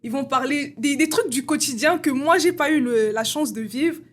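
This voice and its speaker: A woman's voice: sits high, moderate pace. Brief: young woman guest from Congo, raised voice, chatty, sprinkles "genre" and hesitations. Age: young adult. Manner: casual, many fillers like "genre" and "euh". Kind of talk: casual interview conversation.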